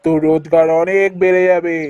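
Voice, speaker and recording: A man's voice: a little high, level tone, brisk, darkish tone, clean tone, highs cut off, natural voice. A man playing a commanding wandering holy man in a folk-tale cartoon, speaking in solemn, oracular pronouncements.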